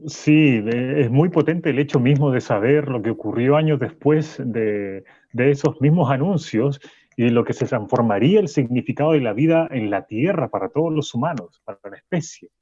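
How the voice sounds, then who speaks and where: Actor as a mellow, husky, reflective professor. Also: male voice, medium pitch, no echo, dull audio, natural voice. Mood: earnest, reflective. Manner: halting, searching for words. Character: male university professor, reflective, earnest, wry radio guest. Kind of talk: radio drama interview.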